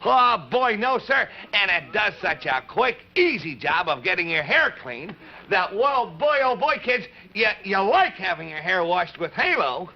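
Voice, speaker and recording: A man's voice: sits high, sing-song, unhurried, heavily acted. A man voicing an eager, folksy boy marionette on a children's show, gee-whiz, stammering and exclamatory. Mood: eager, chirpy. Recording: muffled vintage TV broadcast, faint noise.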